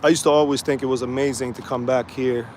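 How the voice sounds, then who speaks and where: A man from Sweden casually chatting to viewers while walking outdoors by the water, relaxed and rambling with many fillers. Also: mid-range voice, quick pace. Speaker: vlogger. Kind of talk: casual live-stream walking vlog. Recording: faint outdoor street noise.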